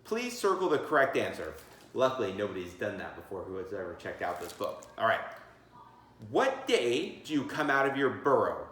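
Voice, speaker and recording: Man, teacher read-aloud, very expressive pitch swings, encouraging, chatty asides, echoey room. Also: normal speed, a little hiss or hum. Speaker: teacher reading a picture book aloud. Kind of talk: read-aloud storytelling with asides and questions to children.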